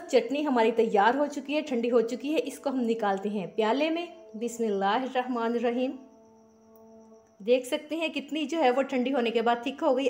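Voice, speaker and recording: A woman, hosting a cooking channel and explaining a recipe step by step in a homely, chatty way, sprinkled with blessings. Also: fairly high pitch. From Pakistan. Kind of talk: casual cooking tutorial voice-over. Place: home kitchen.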